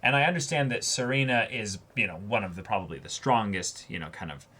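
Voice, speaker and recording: A man with a fairly low voice and a sharp, bright edge talks as co-host of a discussion show. His style is casual and musing, with thoughts arriving in broken pieces that trail off. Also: brisk, slightly rough.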